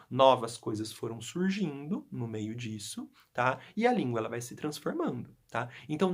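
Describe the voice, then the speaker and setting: Brazilian male teacher explaining a lesson. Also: mid-range voice. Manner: explanatory, frequent tag questions. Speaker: Portuguese teacher. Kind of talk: recorded class lesson.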